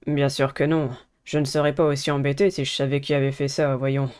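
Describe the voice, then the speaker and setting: Woman as low-voiced, earnest schoolboy. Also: flat delivery, a little fast, darkish tone, clean tone. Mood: worried.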